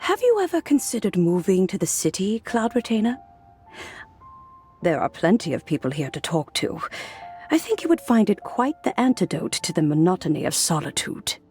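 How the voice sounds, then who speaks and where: An actress playing a gracious, wise woman in a game, gently persuasive, with measured and refined speech. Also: mid-range voice, big pitch swings, faint music behind, close to an everyday voice. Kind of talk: game voice acting.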